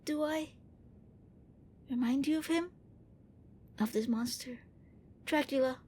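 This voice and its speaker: A woman playing a gentle, bookish young vampire prince in an ASMR roleplay, speaking with hesitant, earnest courtesy. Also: high-pitched, slow, a little hiss or hum. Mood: uneasy, wistful. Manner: hesitant, politely fussy, earnest.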